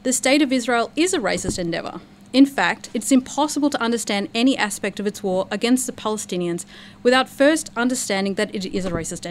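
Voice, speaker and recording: A woman's voice: medium pitch, a little hiss or hum. Woman chairing a forum. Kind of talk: read speech and announcements. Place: lecture room at a public forum.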